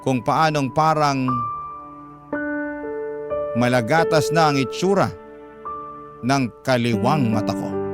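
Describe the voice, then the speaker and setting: A man hosting a radio drama, reading a listener's letter aloud as slow, emotional narration. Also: mid-range voice. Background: loud background music.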